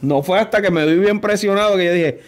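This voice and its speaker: Man, Puerto Rican accent, high-voiced, playful, slangy, studio interview host. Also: a little fast. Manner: joking, laughs often, slangy. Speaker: podcast host. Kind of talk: casual interview chat. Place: small studio with brick-look wall. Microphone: desk boom mic.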